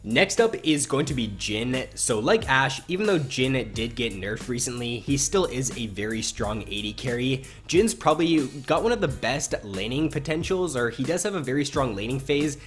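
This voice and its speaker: A man with a stuffy nose from a cold, hosting a casual game strategy video and explaining his picks in a relaxed way. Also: medium pitch, normal speed, few pauses, soft background music. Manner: relaxed, explanatory, repeats phrases. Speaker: gaming video host.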